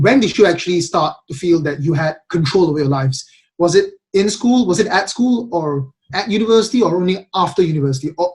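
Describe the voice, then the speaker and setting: Young man, podcast host, from Malaysia, hesitant with restarts, somewhat high pitch. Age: young adult. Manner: hesitant, many restarts and ums. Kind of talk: casual podcast chat.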